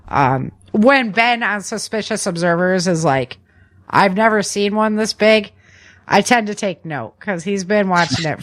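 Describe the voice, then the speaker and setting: A woman's voice: mid-range voice, a bit bright. A woman hosting a podcast in an informal, conversational way, repeating words for emphasis as she chats and runs through news. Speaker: podcast host. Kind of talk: casual podcast chat and news rundown.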